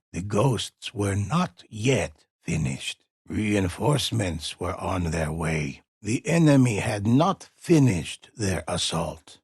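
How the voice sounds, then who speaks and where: Old japanese war tale narrator for a game, low gravelly creaky voice, solemn and portentous. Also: male voice, darkish tone, no room sound, clean studio sound, heavily acted. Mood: solemn, steady. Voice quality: husky, gravelly. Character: grave old male storyteller narrating battles in feudal Japan. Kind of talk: scripted solemn storytelling narration.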